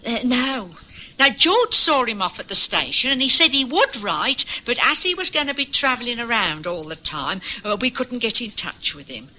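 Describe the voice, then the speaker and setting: A flustered working-class housewife in a radio mystery. Her voice is high and very bright, swinging animatedly as she chatters and hesitates. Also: very animated, moderate pace, exaggerated voice, low-quality recording. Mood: nervously evasive. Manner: chatty, hesitant, nervously giggly. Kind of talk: old-time radio drama acting.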